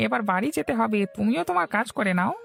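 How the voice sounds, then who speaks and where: Woman voicing a creaky, friendly young fish seller. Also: fairly high pitch, lively intonation, quick pace, fairly bright tone, exaggerated voice. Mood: friendly, reassuring. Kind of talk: Bengali cartoon story dialogue.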